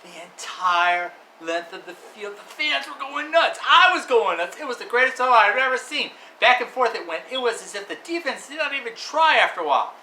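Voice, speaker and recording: A man voicing a folksy uncle who tells a tall tale around a campfire in a story reading, telling it like excited play-by-play. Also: fairly high pitch, fairly quick. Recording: echoey room with faint noise.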